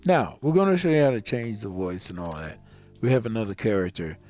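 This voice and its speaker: A man's voice: on the low side, expressive, a little fast, darkish tone, soft background music. A man giving a casual step-by-step tutorial as a video creator, rambling and often repeating himself.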